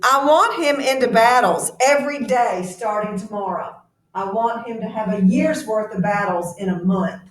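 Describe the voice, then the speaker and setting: A woman's voice: on the high side, moderate pace, lightly acted. A woman reading a novel aloud as an earnest male army lieutenant with an urgent, insistent manner. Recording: very echoey room, distant mic.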